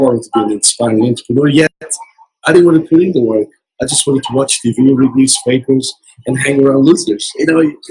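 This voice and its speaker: A man giving an earnest motivational account as an interview guest, hoarse from losing his voice. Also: mid-range voice, rough recording. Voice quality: very husky. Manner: earnest, repetitive motivational talk. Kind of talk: casual interview. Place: casino resort event.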